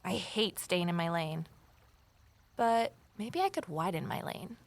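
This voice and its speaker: A woman's voice: on the low side, lively intonation, a little slow, vocal fry, heavily acted. An actress playing an earnest, self-doubting young woman sleuth in an audio drama, speaking in a wry, conversational way. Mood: thoughtful, friendly. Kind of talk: naturalistic audio drama acting and first-person narration.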